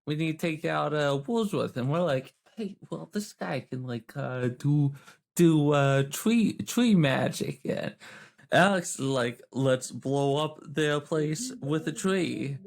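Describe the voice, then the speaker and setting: A man with a creaky, slightly high voice rambles haltingly through casual tabletop role-play. His pace is slow. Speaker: player.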